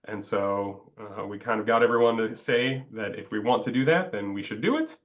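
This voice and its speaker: A man with a fairly low voice talks through a webinar slide presentation. He sounds stuffed up from a cold. He keeps pausing on "uh" between phrases. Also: expressive. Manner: halting, many "uh" fillers. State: congested, stuffed up. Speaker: project presenter.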